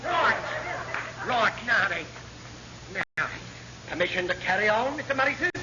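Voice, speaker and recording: High-voiced, blustering navy chief. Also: male voice, lively intonation, unhurried. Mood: furious. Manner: blustering, indignant, theatrical. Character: scheming, aggrieved, bellowing navy chief petty officer. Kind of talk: 1950s-60s British radio comedy acting. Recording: muffled, very noisy old radio recording.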